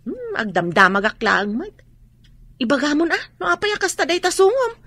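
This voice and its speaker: An actress playing a nosy, gossiping village storekeeper with an exclamatory manner, in a radio drama. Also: female voice, sits high, wildly swooping pitch, normal speed, bright, forceful tone, dry recording, heavily acted. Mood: prying, indignant.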